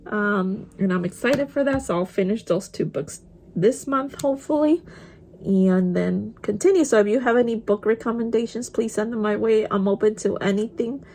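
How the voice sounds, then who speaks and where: A woman's voice: medium pitch, moderate pace, mellow, slight background noise. A young woman with a Spanish accent, a native Spanish speaker, chatting casually while doing her makeup and dropping in Spanish words. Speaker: vlogger. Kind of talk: casual get-ready-with-me chat.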